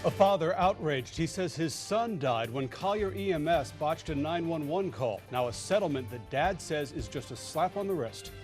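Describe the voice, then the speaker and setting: Higher-pitched male news anchor with music. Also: expressive, normal speed, few pauses, darkish tone. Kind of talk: scripted news reading. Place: TV news studio.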